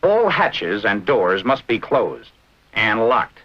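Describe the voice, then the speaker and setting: An American man narrating a 1940s military training film in a brisk, instructive manner with clipped short phrases. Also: on the low side, big pitch swings. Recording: dull, band-limited vintage film soundtrack.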